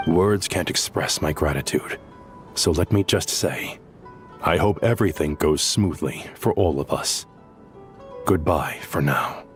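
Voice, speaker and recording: An actor playing a grave, dignified male leader in a video game, speaking in a formal, measured, composed way. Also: a bass voice, over music. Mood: solemn, grateful. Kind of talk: video game character dialogue.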